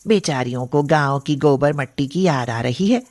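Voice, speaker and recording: A woman playing a snobbish, gossiping middle-aged neighbour in an animated moral story, catty and mocking. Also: a bass voice, normal speed, no room sound.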